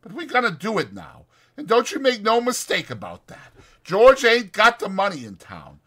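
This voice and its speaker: A man voicing a stubborn, excitable old swamper with a rustic, ungrammatical drawl for a dramatized audiobook. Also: high-pitched, normal speed, voice pushed up, exaggerated voice. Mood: defiant, fervent.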